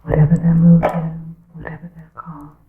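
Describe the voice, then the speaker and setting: A woman voicing a wry, persecuted witch of an old coven in a breathy ASMR whisper, with deliberate, archaic, formal wording. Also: deep voice, little pitch movement. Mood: grave, indignant. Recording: muffled narrow-band lo-fi, echoey.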